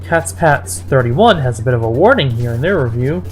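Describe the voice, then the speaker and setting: A mid-pitched reader delivers a mocking, dramatic read-aloud. The delivery slips into exasperated commentary, with music playing behind the voice. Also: expressive, normal speed, darkish tone. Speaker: host reading fan fiction aloud.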